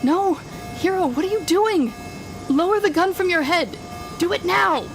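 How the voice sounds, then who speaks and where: A woman playing a smug, controlling telepathic villain in an audio roleplay, taunting the listener in a deliberate, condescending manner. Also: very high-pitched, lively intonation, over music. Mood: alarmed, shouting.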